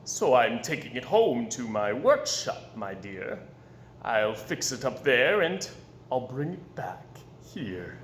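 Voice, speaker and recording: A man voicing a sly, scheming Grinch creature in a storybook, with slick, drawn-out, theatrical delivery. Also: mid-range voice, big pitch swings, roomy sound, slight background noise, pitched below the performer's own voice. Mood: falsely sweet, sly. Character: sly, mean Grinch creature, gleefully scheming, faking sweetness.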